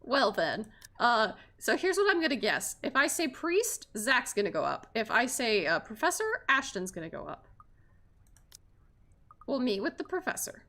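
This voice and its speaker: Lively high-voiced woman streaming a game. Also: moderate pace. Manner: expressive, informal, reacts aloud to characters. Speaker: streamer playing a visual novel. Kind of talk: livestream game commentary, chatty reactions.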